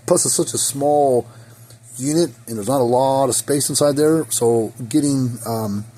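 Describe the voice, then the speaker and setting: A hoarse man repairing equipment in his workshop, rambling and thinking aloud as he works, recorded on a phone. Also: mid-range voice, unhurried, mellow. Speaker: repair technician. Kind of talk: casual workshop repair talk. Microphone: phone camera.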